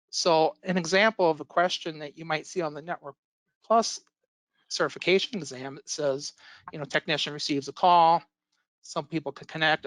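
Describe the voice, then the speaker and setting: A man who is an IT instructor, giving a casual webinar presentation, with a voice worn slightly hoarse from illness and many fillers and restarts, on a computer mic. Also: a little high, fairly bright tone, no echo, poor audio. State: losing his voice from illness.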